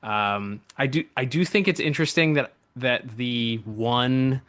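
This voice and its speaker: A man with an average-pitched voice chats as a podcast co-host. His delivery is informal and enthusiastic, like a fan reviewing something with a friend. The sound is dry, with very little room in it. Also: measured pace, fairly bright tone, no room sound. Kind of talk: casual animation review chat.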